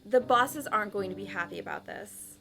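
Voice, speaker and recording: A woman's voice: medium pitch, a little fast, gravelly, pushed and bright. A woman playing a blunt, sardonic and combative female reaper who regrets nothing, in an audio drama. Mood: accusing. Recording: music behind the voice.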